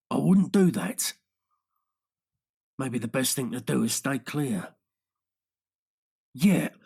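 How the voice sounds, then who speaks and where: A man's voice: fairly high pitch, creaky, darkish tone, slightly rough, heavily acted. A man voicing a guarded, defensive man with secrets in an audiobook, speaking tersely. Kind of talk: audiobook character dialogue.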